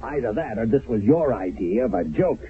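An actor playing a stern, skeptical wartime army captain in a radio drama, commanding, clipped and sardonic. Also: male voice, mid-range voice, expressive, normal speed, natural voice. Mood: angry, sardonic. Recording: narrow, phone-like vintage radio broadcast.

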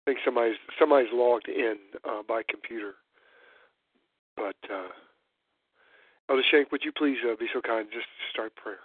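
A hoarse, congested man hosting a church call-in roundtable, speaking haltingly with many fillers. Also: mid-range voice, dry recording. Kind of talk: hesitant spoken discussion. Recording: dry tinny phone line.

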